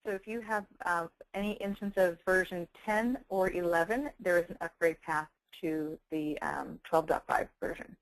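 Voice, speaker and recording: A woman giving an explanatory software presentation in a measured, careful, professional way. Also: fairly low pitch, fairly even intonation, no echo, poor audio. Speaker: webinar presenter, software company representative.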